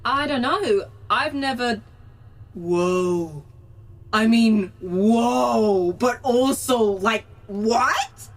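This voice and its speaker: An actress playing an eager, geeky starship science specialist, gushing and halting, in a sci-fi comedy audio drama. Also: female voice, on the high side, animated, exaggerated voice. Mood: awestruck, excited.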